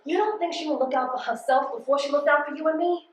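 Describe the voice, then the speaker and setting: A high female American voice with a roomy echo around it. An actress plays a hard-working, suspicious postal carrier in a stage drama, emphatic and guarded, talking in streetwise everyday speech. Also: fast talker, a little hiss or hum, close to an everyday voice. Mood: suspicious, insistent. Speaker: stage actor. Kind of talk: stage play dialogue, colloquial.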